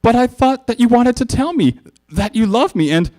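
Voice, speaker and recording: Female cartoon unicorn, performed by a man, raised swooping pitch, excitable and intense, for live story reading. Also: normal speed, slight background noise. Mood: lovestruck, hopeful. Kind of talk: live dramatic fan fiction reading.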